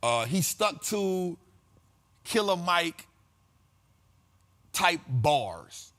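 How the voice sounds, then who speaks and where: A man hosts a money talk show in loose, slangy conversation. His voice sits a little higher than most men's. He stacks repeated lines the way a preacher does. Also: big pitch swings, drawn-out words, a bit bright. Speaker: host of a finance talk show. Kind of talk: casual motivational monologue.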